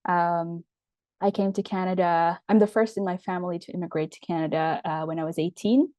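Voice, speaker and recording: A young woman with an Indonesian accent speaks as an interview guest, her voice low and warm. She talks in measured phrases, pausing often on ums and uhs. The sound is close and dry. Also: steady tone, dry recording. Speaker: guest, writer and storyteller. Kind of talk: thoughtful interview answers.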